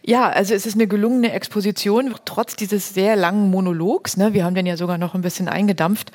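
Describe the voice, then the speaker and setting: A woman's voice: mid-range voice, fairly even intonation, fairly quick, a little mellow. A woman moderating a literary discussion in a conversational way.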